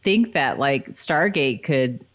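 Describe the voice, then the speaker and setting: A woman's voice: rumbling low, lively intonation, takes it slowly. A woman co-hosting a podcast, talking informally and conversationally. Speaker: podcast co-host. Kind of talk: casual, informal chat.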